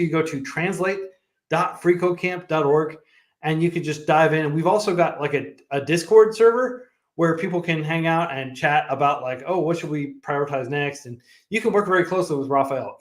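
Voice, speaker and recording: A man talking casually as a guest on a livestream, chatty and full of fillers and restarts. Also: a little high. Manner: chatty, many fillers and restarts, laughs often. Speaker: founder of a coding nonprofit, guest. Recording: echoey room.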